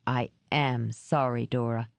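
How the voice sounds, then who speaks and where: A woman voicing a gruff, remorseful male ex-cop in an audiobook, speaking low, slow and measured. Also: steady tone, no room sound, exaggerated voice. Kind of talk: audiobook character reading.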